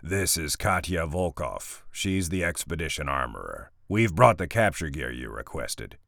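A man voicing a huge gruff male mercenary with a ruined, rumbling voice, blunt and menacing. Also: expressive, a little slow, fairly bright tone, no room sound, studio quality, exaggerated voice. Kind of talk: audiobook character voice.

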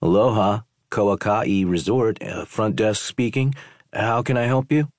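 A man's voice: lowish voice, unhurried, darkish tone, no echo, studio quality, pitched above the performer's own voice, lightly acted. An actor playing a bumbling young male hotel clerk on a phone call, stumbling and hesitant. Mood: flustered. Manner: fumbling, hesitant.

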